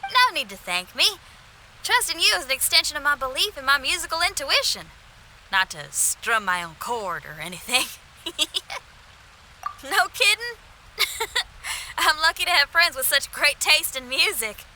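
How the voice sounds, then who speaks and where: A woman's voice: high voice, sing-song, moderate pace, long pauses, sharp tone, a little hiss or hum, full character voice, low-quality recording. A woman voicing a confident, free-spirited young musician in a video game, with a breezy, assured way of talking. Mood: amused.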